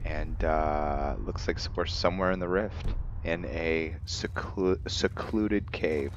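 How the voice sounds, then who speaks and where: Low voiced guy explaining a game on his let's play, muffled mic, bit of background noise. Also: fairly even intonation, slow pace. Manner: explanatory, addresses viewers with rhetorical questions. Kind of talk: casual gameplay commentary. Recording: dull lo-fi mic, noisy background.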